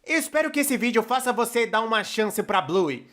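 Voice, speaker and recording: A man's voice: very high-pitched, very animated, normal speed, fairly bright tone, heavily acted. A man with a Brazilian accent dubbing a casual, wry young storyteller in scripted video commentary. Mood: casual, sincere. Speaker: dubbed narrator of an animated opinion video.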